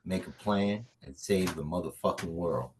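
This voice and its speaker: A man's voice: low-pitched, moderate pace, darkish tone, a little hiss or hum, natural voice. A man playing a laid-back, crude, swaggering action hero, offhand and cocky, in a comedy table read.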